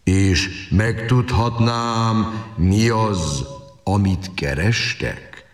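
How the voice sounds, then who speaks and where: A man playing a huge, slow-witted but kindly giant in a storybook audio drama, full of exclamations and questions. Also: fairly low pitch, echoey room, faint music behind, lightly acted. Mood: mild, curious.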